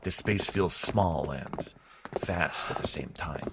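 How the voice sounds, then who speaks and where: A man narrating a haunted-asylum audio drama in the second person, with a steady, descriptive delivery. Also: low voice, slow pace, very noisy. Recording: clear music under the voice.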